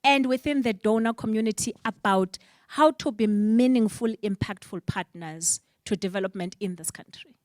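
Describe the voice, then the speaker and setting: A woman's voice: mid-range voice, normal speed, dry recording, clean studio sound. Passionate South African woman moderating. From Eastern Cape, South Africa. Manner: emphatic, passionate, rhetorical questions. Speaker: panel moderator. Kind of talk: conference panel moderation. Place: symposium panel on stage.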